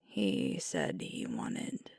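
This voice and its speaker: A woman playing a sweet, weary werewolf girlfriend in an ASMR roleplay, confiding softly and haltingly in a breathy voice. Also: very deep voice, animated, no echo, clean studio sound, full character voice. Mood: anxious, confiding. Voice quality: soft, breathy, whispery. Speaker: ASMR voice actor. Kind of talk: ASMR roleplay, intimate one-sided conversation.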